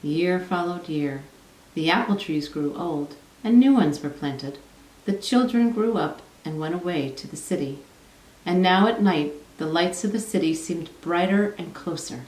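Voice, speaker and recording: Female storybook narrator, lower register, heard from a little way off the mic in a roomy space. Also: unhurried, darkish tone. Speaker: storybook reader. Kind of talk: children's read-aloud narration. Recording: echoey room, distant from mic.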